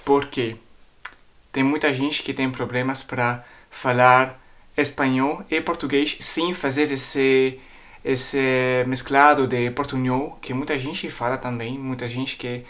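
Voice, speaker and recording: A man's voice: medium pitch, a bit bright. A man with a foreign accent, a native French speaker, talks casually to viewers about language learning, often searching for words and repeating himself. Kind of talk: casual explanatory talk to viewers.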